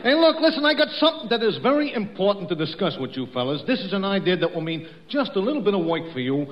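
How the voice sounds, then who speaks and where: A man's voice: high-pitched, sing-song, quick pace, crowd noise. A man playing a shifty, falsely friendly small-time hustler in a 1940s radio comedy. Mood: wheedling. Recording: muffled old radio broadcast, faint noise.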